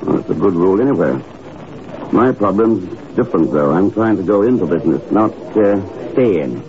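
An actor playing a suave, shrewd secret agent in a 1950s radio drama, with a dry, unruffled, probing manner. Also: male voice, deep voice, animated, a little fast. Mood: smooth, confident. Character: suave, shrewd male secret agent posing as grain buyer. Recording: muffled, noisy old radio broadcast recording.

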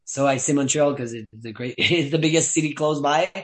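A man's voice: a little high, brisk. A young man from Quebec with a French-Canadian accent, chatting casually as a guest, full of "like" fillers. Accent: Québécois French. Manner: rambling, many "like" fillers, laughs often. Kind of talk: casual chat.

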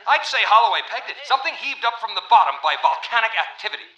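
An actor playing a blunt, commanding navy captain in a radio drama, speaking over a ship's radio. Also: male voice, high voice, sing-song, fast talker, pushed and bright, roomy sound, lo-fi sound, heavily acted. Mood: uneasy awe. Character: gruff, commanding male navy ship captain. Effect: radio transmission.

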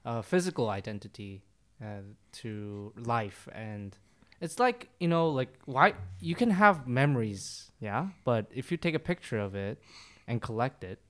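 Guy chatting, stops and restarts a lot, smooth clear voice. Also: mid-range voice, expressive, fairly bright tone. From Korea. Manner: halting, restarts phrases. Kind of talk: casual chat.

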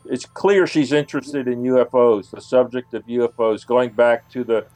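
A man answering as an interview guest on radio, haltingly searching for his words. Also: medium pitch, fairly even intonation, darkish tone. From United States. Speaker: guest, UFO researcher.

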